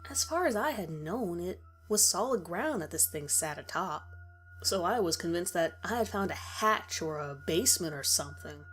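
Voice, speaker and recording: A woman's voice: on the low side, expressive, moderate pace, a bit bright, faint music behind. A woman reading a scripted first-person horror story as its narrator.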